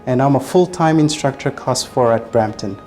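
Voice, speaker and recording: A man's voice: medium pitch, normal speed, darkish tone, faint music behind. A man from Madagascar, a flight instructor, speaking earnestly with frequent hesitant fillers. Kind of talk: testimonial interview.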